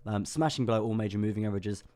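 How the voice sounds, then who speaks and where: A young man hosts a crypto livestream with a hoarse, worn-out voice, talking casually with lots of ums and restarts. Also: lowish voice, rapid-fire, warm tone. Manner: chatty, self-deprecating, many ums and restarts. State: hoarse, lost voice. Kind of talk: casual market analysis livestream.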